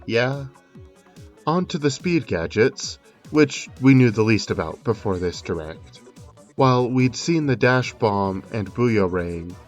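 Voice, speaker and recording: A man delivering scripted video game analysis commentary with informal, conversational asides. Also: lowish voice. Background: music under the voice.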